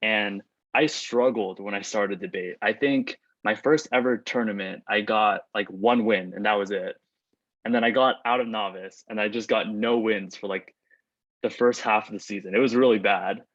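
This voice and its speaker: A young man whose first language is Chinese talks through student questions in a relaxed, rambling way, with plenty of "like" and false starts. His voice sits low. The recording is dull and dry. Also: moderate pace, no echo. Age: young adult. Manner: chatty, many "like" fillers and restarts. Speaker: student panelist reading out questions. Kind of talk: casual Q&A chat.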